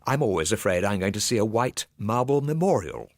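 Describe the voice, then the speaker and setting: A man's voice: lowish voice, big pitch swings, brisk, a little mellow, no echo, studio quality. A man narrating a comic ghost story in the first person, theatrically, with precise old-fashioned diction. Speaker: storyteller, actor.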